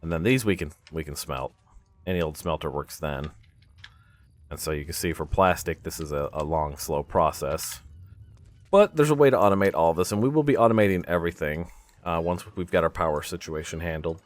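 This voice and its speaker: A man with a low voice hosts a gaming video in a casual, unscripted way. He thinks out loud as he plays, talking himself through each step like someone working beside the viewer. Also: animated, fairly quick. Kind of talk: casual let's-play commentary.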